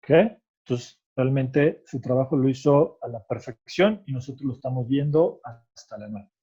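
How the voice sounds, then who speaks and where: Man, Mexican Spanish accent, patient explainer, checks understanding, warm low-key voice. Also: normal speed, slightly rough, highs cut off. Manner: patient, explanatory, adds "¿ok?" after points. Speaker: tax expert guest. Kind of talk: explanatory conversation.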